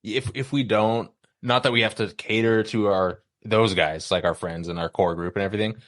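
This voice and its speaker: Male podcast co-host, deep voice, close dry sound, loose and rambling conversational delivery that circles around points. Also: steady tone, normal speed, fairly bright tone, no room sound. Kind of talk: casual chat.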